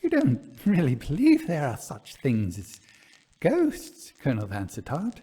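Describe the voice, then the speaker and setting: A man's voice: mid-range voice, huge pitch swings, a little slow, mellow, breathy edge, full character voice. A man voicing a polite, coaxing hostess of a country house in a ghost story. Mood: curious, coaxing.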